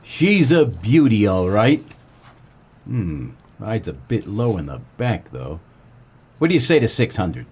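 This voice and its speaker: A man playing a wisecracking, deadpan 1940s private detective in a comedic noir radio play, with terse, slangy tough-guy delivery and a husky voice. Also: fairly low pitch, slow, heavily acted. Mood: cool, wry. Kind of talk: comedic noir radio play, first-person narration and dialogue.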